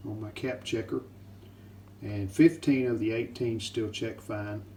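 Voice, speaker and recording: A man's voice: on the low side, steady tone, measured pace, dark tone, slight background noise. A man talking casually through a hands-on restoration in a plain, matter-of-fact way, in short clipped sentences. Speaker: host of a vintage radio repair video. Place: indoors at home.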